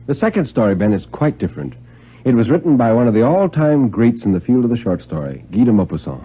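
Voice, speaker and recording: A man's voice: mid-range voice, very animated, quick pace, breathy edge. A man giving a dramatic reading of thriller stories in the style of 1950s radio narration. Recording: lo-fi 1950s radio recording, music behind.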